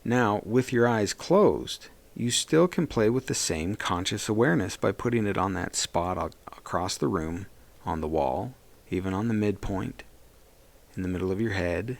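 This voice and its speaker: Low, gravelly man guiding a meditation. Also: animated, normal speed. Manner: gentle, soothing, reassuring instruction. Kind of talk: guided meditation narration.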